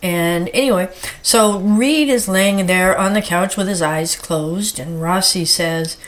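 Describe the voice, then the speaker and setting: A woman's voice: lowish voice, slow pace. A woman hosting a casual solo podcast, a little stuffy from a head cold, chatty and rambling with lots of fillers. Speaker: podcast host.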